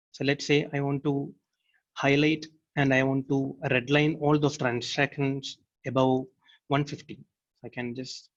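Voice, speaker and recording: A man giving a step-by-step software demo as a technical presenter, explaining in a halting way. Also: medium pitch, lo-fi sound.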